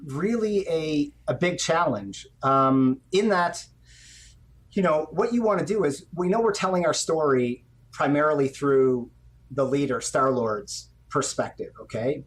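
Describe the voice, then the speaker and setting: Middle-aged male director, slightly high voice, conversational interview guest on a video call. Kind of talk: conversational interview, explaining his work. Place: indoor room on a video call.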